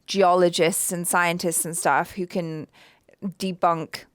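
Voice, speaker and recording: Woman, grew up in Indonesia, casual reaction chat, smooth resonant voice, dry close sound. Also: fairly low pitch, level tone, slow, no echo. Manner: enthusiastic, lots of fillers like "like" and "you know". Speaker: movie reaction co-host. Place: Los Angeles home studio.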